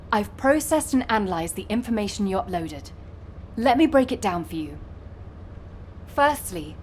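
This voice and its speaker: A woman plays an earnest young data analyst from a video game. She explains methodically in a mid-pitched, everyday voice, as if briefing a comrade. A little ambient noise sits behind her. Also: lightly acted. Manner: clear, explanatory, measured briefing.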